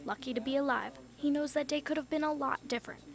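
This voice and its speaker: An American woman reporter with a distinctly high voice delivers scripted TV news. Her delivery is polished and emphatic. Some noise from location recording sits behind her. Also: quick pace, creaky. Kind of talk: scripted broadcast news narration.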